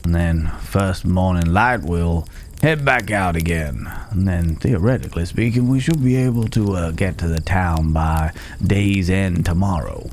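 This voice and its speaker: Man playing a deep-voiced, caring cowboy stranger. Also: a little hiss or hum. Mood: calm, reassuring. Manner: unhurried, folksy, hesitant pauses, gently teasing. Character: gruff but caring male cowboy stranger, calm and protective. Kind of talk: immersive ASMR roleplay acting. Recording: outdoor night ambience with chirping crickets.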